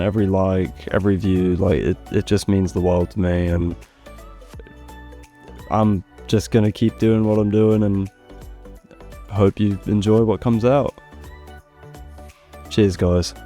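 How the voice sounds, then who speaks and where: A man hosting a gaming video, narrating a review in an expressive, opinionated way into a close mic. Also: on the low side. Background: background music.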